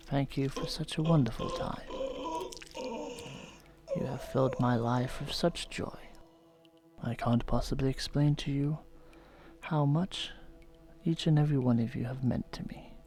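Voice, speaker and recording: A man voicing a gentle, soft-spoken television painter who has turned menacing, in a horror ASMR role-play. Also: medium pitch, animated, crowd noise. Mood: mournful. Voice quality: soft whispery delivery. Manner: slow, soothing, softly menacing. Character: gentle middle-aged male TV painter turned unhinged.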